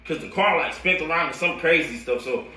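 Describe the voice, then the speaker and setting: Bright, echoey American man telling stories. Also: fairly high pitch, fairly quick. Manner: slangy, acts out dialogue and sound effects. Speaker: coach and storyteller. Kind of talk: animated casual personal storytelling. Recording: roomy echo, distant microphone.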